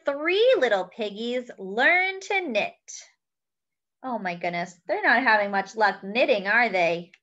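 Young woman, children's storytime reader, sing-song and bright, slightly muffled home webcam sound. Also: on the high side, lively intonation. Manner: cheerful, slow and sing-song, counting aloud. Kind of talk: reading a picture book aloud to children. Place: small room at home, indoors.

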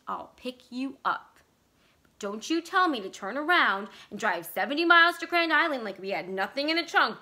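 A woman voicing an exasperated, stubborn husband in a story reading, forceful and argumentative. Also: fairly high pitch, voice pushed up. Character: exasperated, stubborn husband arguing with his wife.

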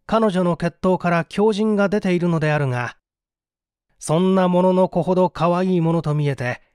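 A man's voice: on the high side, a little mellow, dry recording, clean studio sound. A man reading a mystery story as an audiobook narrator, in a formal, theatrical style.

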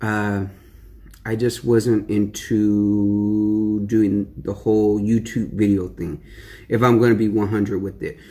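A man's voice: fairly low pitch, little pitch movement, slow pace, mellow. A young man chatting casually to the camera in an eating-show vlog, slangy and rambling with lots of fillers. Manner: laid-back, slangy, rambling with many fillers. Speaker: mukbang vlogger.

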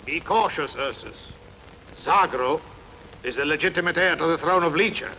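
An actor playing an anxious, cautious counselor of an ancient kingdom, admonishing and theatrical in a noisy film dub. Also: male voice, a little high, moderate pace, pushed and bright. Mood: warning. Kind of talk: dubbed sword-and-sandal film acting. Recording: noisy fan-dubbed film soundtrack.

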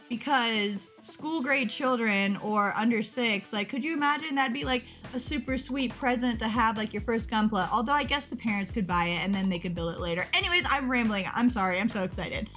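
A young woman from the United States vlogging about a model kit event, excitable and rambling, interrupting herself and laughing, a little tired. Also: fairly high pitch, moderate pace, faint music behind. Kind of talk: casual chat over a webpage.

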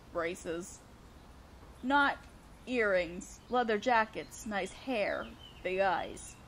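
A woman's voice: on the high side, a little hiss or hum. A woman playing an awkward, flustered young student council president in a romantic audio roleplay, with a hesitant, earnest delivery.

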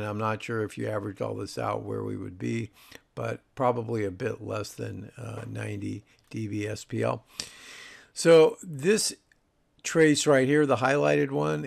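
A man's voice: medium pitch, vocal fry. A man presenting an audio review, explaining technical details in a casual, informal way.

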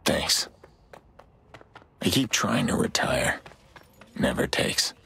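An actor playing a weary, guarded middle-aged vigilante in a video game, speaking in a terse, understated way. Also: male voice, deep voice, big pitch swings, slow, vocal fry, sharp tone, a little hiss or hum, heavily acted. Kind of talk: cinematic game dialogue.

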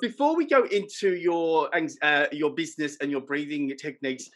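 A man's voice: a little high, normal speed. Chatty man hosting a podcast. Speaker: podcast host. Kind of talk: video call interview. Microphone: headset.

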